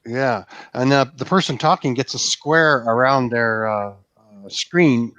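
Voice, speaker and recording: A man's voice: mid-range voice, measured pace, a bit bright, dry recording. A man who is a guest on a live-stream video chat, talking casually and throwing in dry wisecracks, heard over dull lo-fi call audio.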